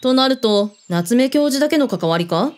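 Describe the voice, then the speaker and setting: An actress plays a shrewd female ex-agent with a fairly high, very animated voice. She sounds skeptical and probing, using terse, mannish phrasing in radio drama style. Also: normal speed, natural voice. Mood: serious, probing. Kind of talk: Japanese radio drama acting.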